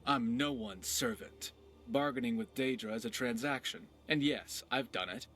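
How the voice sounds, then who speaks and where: An actor playing a roguish, witty pirate companion in a video game, talking in a wry, philosophical, conversational way. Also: male voice, medium pitch, sing-song, moderate pace, vocal fry, fairly bright tone, a little hiss or hum. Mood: wry, persuasive.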